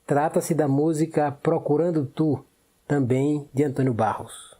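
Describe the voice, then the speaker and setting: A man's voice: fairly high pitch, steady tone, a little slow. A man presenting a radio culture segment in a formal, informative scripted read.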